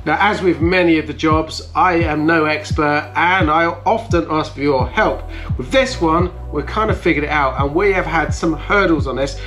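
A man's voice: on the high side, moderate pace, hardly any pauses, fairly bright tone, faint music behind. A man in his thirties hosting a casual restoration vlog, explaining things step by step in a hands-on way. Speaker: host of a car restoration channel. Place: bright plant-filled conservatory at a table.

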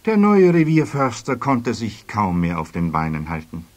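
A man's voice: fairly low pitch, huge pitch swings, moderate pace. A man narrating a children's story as scripted radio play narration.

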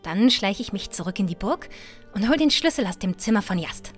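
A woman's voice: medium pitch, animated, fairly quick, soft background music, close to an everyday voice. A woman playing a headstrong, impatient riverboat adventurer in a fantasy audio drama, defiant and emphatic. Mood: determined. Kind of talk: fan-made fantasy audio drama acting.

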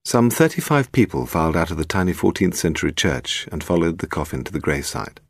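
A British man reads a detective story with measured, scripted delivery. His voice is very low yet moves expressively, and the sound is dry. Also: very animated, slightly rough, no echo. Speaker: audiobook narrator. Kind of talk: scripted narration of a detective novel.

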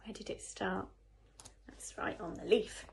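A woman's voice: medium pitch, unhurried, vocal fry. A woman, likely in her thirties, telling a picture-book story expressively to young children and putting questions to them. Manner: animated, asks the listener questions. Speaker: teacher reading a picture book. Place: living room sofa at home. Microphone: phone camera a short distance away.

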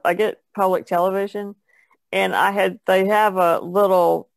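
Female artist guest, heavy gravelly fry, relaxed conversational delivery, dry close sound. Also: lowish voice, no echo. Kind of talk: casual chat.

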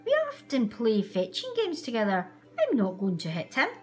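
Woman doing a high, astonished little stick. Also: wildly swooping pitch, normal speed, soft background music. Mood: surprised, refusing. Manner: astonished, protesting. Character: playful, surprised female stick, loyal to friends.

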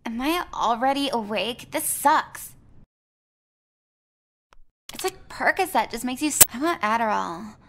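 A woman's voice: fairly high pitch, expressive, measured pace, long pauses, a bit bright. A young woman voicing a jaded, sarcastic eighteen-year-old American girl in a visual novel game. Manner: snarky, blunt. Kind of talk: voiced visual novel acting.

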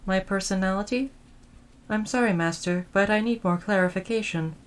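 A woman's voice: mid-range voice, close to an everyday voice. Woman playing a formal, caring android servant. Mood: earnest, reflective. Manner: formal, polite, measured phrasing. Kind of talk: audio roleplay addressed to the listener.

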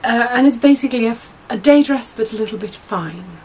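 Husky young woman with a cold. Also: a little high, lively intonation, fairly quick, a little mellow, a little hiss or hum, low-quality recording. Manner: chatty, rambling, many fillers and self-corrections. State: hoarse from a cold. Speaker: sewing vlogger. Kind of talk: casual chat to camera. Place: small indoor room at home.

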